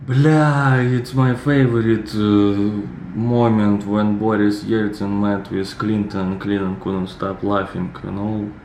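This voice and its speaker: A man in his thirties with a Russian accent chats about a film clip in a loose, rambling way, full of fillers. His voice sits low and his delivery is unhurried. The room is echoey, with a steady noise in the background. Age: 30s. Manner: relaxed, rambling, many fillers. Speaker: reaction video host. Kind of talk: casual commentary.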